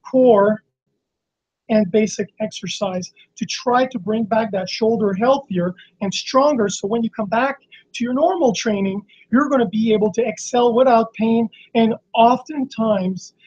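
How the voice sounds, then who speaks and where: A hoarse man with laryngitis, a fitness coach teaching on a live stream in a halting, explanatory way with many restarts. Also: sits high, darkish tone. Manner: explanatory, frequent fillers and restarts. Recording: slightly dull lo-fi stream audio.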